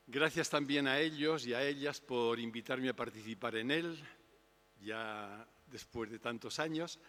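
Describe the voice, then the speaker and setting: An older man giving an unhurried, reflective public talk on spirituality. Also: mid-range voice, fairly bright tone. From Spain. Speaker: invited speaker. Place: lecture hall. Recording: long hall reverb.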